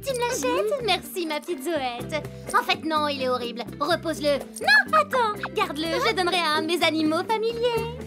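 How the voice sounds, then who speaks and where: A woman's voice: extremely high pitch, big pitch swings, normal speed, over loud music. A woman voicing a bossy, fickle, spoiled microbe girl in a French cartoon dub, imperious and snobbish. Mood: haughty. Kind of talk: animated children's cartoon dub.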